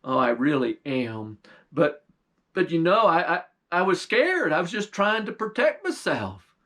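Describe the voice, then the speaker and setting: A man's voice: a little high, sing-song, measured pace, heavily acted. A man doing Jack, an earnest young hero. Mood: apologetic. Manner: gentle and earnest.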